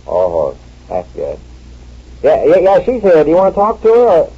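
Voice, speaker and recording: An actor playing a sly, wry husband who tells stories and takes a phone call, in 1940s radio acting style. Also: male voice, fairly high pitch, wildly swooping pitch, moderate pace, breathy edge, heavily acted. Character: dry, wry scheming husband. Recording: dull lo-fi old radio recording.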